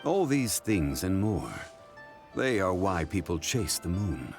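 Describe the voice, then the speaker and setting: An actor playing a composed, reflective gentleman in a video game, with measured, formal and poetic phrasing. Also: sits low, big pitch swings, faint music behind, exaggerated voice. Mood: reflective, solemn.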